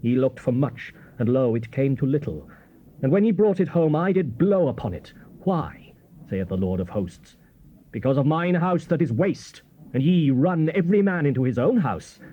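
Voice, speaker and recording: An actor playing a stern, exhorting Old Testament prophet, declaiming scripture in grave, emphatic phrases. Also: male voice, a little high, expressive, moderate pace. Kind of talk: dramatized King James scripture reading. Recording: muffled, noisy old cassette tape.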